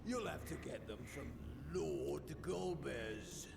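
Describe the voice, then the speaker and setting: An actor playing a sinister male fiend in a video game, taunting and theatrical with a gravelly voice. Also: on the high side, animated, takes it slowly, background noise, exaggerated voice. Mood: mocking, resigned.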